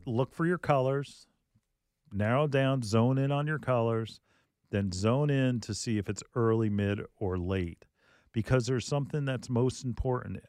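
A man's voice: mid-range voice, unhurried, a little mellow, no room sound, studio quality. A man hosting a garden radio show in casual chat, jokey and talkative, giving tips and quoting callers. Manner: chatty, jokey, quotes callers in mock voices.

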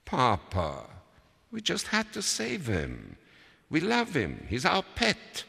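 A man's voice: fairly high pitch, wildly swooping pitch, slow, creaky, bright, forceful tone, husky, pitched above the performer's own voice, heavily acted. A man voicing an earnest, pleading nine-year-old child in a story told aloud. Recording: long reverberant hall.

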